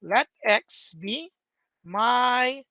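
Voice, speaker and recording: A man's voice: very high-pitched, very slow, pushed and bright, dry recording. A young man teaching a math lesson over a screen recording, explaining step by step with many "okay"s, recorded at a desk.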